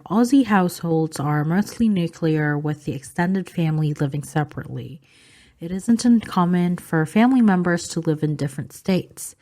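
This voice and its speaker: A woman from the Philippines whose first language is Filipino, calmly explaining cultural differences in a vlog with frequent "um" fillers. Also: low voice. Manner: calm, informative, frequent "um" fillers. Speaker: vlogger.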